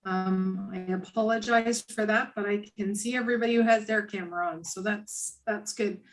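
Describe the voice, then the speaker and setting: A woman chairing a committee meeting over a video call, friendly and halting, with many fillers and restarts. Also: mid-range voice, steady tone, faint music behind, rough recording. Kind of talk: casual meeting chat.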